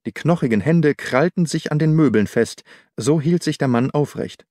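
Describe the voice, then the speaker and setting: A man's voice: a little high, expressive, moderate pace, darkish tone, no room sound. A man reading scripted literary narration as an audiobook narrator in a studio. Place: dry studio.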